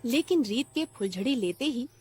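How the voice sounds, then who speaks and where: A woman's voice: on the high side, lively intonation, normal speed, darkish tone, soft background music. A woman narrating a scripted cartoon story for children.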